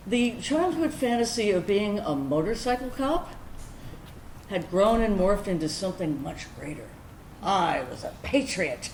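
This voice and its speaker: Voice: medium pitch, darkish tone, close to an everyday voice. A performer in a staged reading playing a proud, self-aware former nerdy overachiever, telling a personal story as a monologue. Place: indoor room, staged reading. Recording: echoey room with faint noise.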